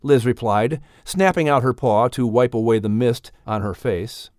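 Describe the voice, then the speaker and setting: A man narrating a children's story in an expressive style as an audiobook reader. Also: mid-range voice, normal speed, dry recording, clean studio sound.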